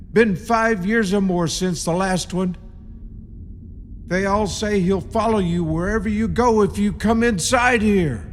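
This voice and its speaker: An actor playing an eerie rural caretaker of a haunted tomb in a radio play, telling it in a halting, ominous, folksy way. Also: male voice, high voice. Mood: grave, warning. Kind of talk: radio play horror storytelling. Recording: reverberant radio drama, audible background noise.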